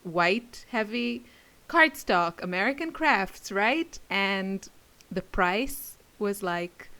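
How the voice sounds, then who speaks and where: A woman's voice: medium pitch, lively intonation, slow, sharp tone. Giggly lady vlogger just rambling casually about stuff, takes her time talking. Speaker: craft video creator and class teacher. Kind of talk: casual rambling chit-chat to camera.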